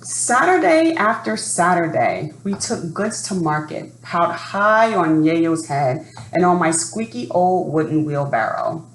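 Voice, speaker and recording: A woman presenting and reading a picture book to children in an expressive storytelling style, addressing young listeners directly. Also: on the low side. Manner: expressive, asks young listeners questions and pauses. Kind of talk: read-aloud storytelling for children. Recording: roomy sound with faint background noise.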